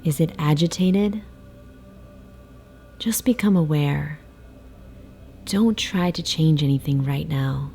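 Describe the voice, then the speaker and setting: A woman guiding a sleep meditation in a soft, soothing, hypnotic way, with breathy phrase endings and long pauses. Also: low voice, soft background music. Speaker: meditation guide. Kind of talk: guided sleep meditation, slow hypnotic narration. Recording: faint background noise, ocean waves. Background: ocean wave sounds.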